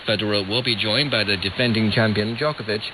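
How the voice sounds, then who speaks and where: A man reading scripted radio news in a measured, formal delivery, as an anchor. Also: on the low side, steady tone. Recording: hissy, noisy shortwave radio reception. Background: static hiss.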